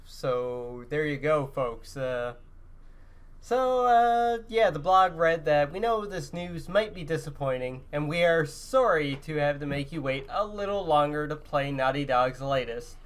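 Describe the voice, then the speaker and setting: A man's voice: fairly high pitch, animated, a little slow, clear, resonant tone. A man co-hosting a podcast in a casual, chatty gaming-news style. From Canada. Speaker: podcast co-host.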